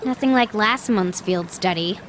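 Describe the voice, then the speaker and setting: A cool-headed teenage girl fighter from a video game, voiced by a woman, talks in terse, matter-of-fact phrases. Her voice is mid-pitched, with water running clearly in the background. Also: measured pace, a bit bright, close to an everyday voice, low-quality recording. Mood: dry. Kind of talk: dramatic video game voice acting. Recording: clear water noise behind voice. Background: running water ambience.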